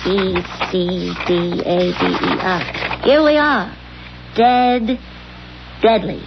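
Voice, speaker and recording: An actress playing a bossy, scheming wife who is insistent and brisk in a 1970s radio drama. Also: female voice, medium pitch, lively intonation, vocal fry. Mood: brisk, decisive. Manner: insistent, brisk, thinking aloud. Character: bossy, scheming wife, impatient with her husband. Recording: muffled, noisy old radio recording.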